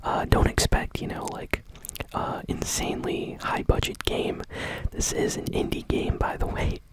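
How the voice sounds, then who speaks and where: Woman whispering gaming news asmr style, soft and breathy, casual, kinda hesitant and off the cuff. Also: a little slow, poor audio. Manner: casual, hesitant, unscripted delivery.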